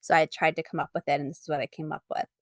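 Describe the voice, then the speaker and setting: A woman speaking as an interview guest, an artist chatting casually about her own work in an animated, informal, giggly way. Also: low voice, racing, dry recording, studio quality.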